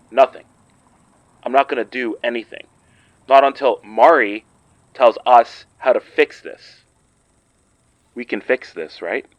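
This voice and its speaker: A man acting as a grim, tense man in an audio drama, speaking deliberately. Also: mid-range voice, fairly even intonation, dry recording, dull audio, natural voice. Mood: tense, restrained. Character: grim, tense man confronting a friend's possession.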